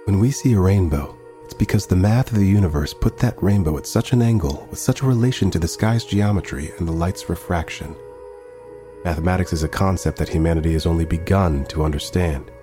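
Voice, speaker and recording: An actor playing a calm, philosophical sentient robot who narrates a sci-fi audio drama in a measured, solemn, articulate way. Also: male voice, low-pitched, music in the background, close to an everyday voice.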